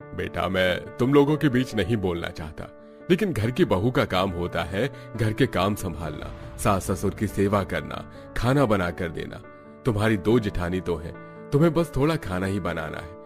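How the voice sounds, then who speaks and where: Guy doing older father in law in a cartoon, measured and low, gently scolding. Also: lively intonation. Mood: admonishing. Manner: measured, paternal. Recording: music under the voice.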